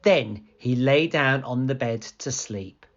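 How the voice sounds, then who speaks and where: A woman reading a children's story aloud as scripted narration, expressive and deliberate. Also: extremely low pitch. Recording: slightly dull, lo-fi sound.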